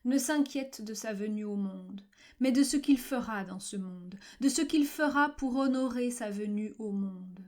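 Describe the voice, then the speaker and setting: Woman, slightly high pitch, very slow pace, literary poem recitation, measured pauses, occasionally breathy near-whisper. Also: darkish tone. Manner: measured, fragmented phrasing with frequent pauses. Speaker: poetry reader.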